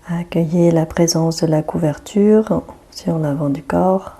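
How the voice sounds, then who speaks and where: A woman guiding a yoga nidra meditation with a soft, breathy voice, giving calm, gentle instructions with long pauses. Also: on the low side. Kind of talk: guided relaxation meditation.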